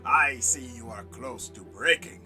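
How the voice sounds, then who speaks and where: A man's voice: mid-range voice, unhurried, sharp tone, soft background music. A man putting on a pompous, addled old zombie. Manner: theatrical, grandly formal, prone to sudden shrieks.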